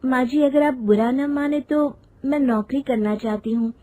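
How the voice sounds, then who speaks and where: A woman's voice: high voice, moderate pace, warm tone, dry recording, pitched above the performer's own voice, natural voice. A woman voicing a polite, meek young daughter-in-law in a moral story. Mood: hesitant, pleading.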